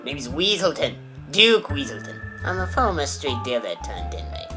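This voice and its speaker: A man's voice: a little high, big pitch swings, moderate pace, over music. A man playing a shifty, cocky weasel street crook in an amateur audio drama.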